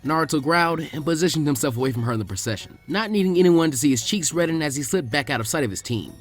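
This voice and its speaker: A man reading a story aloud as expressive scripted narration. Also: a little high, quick pace, soft background music. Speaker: narrator of fan fiction readings.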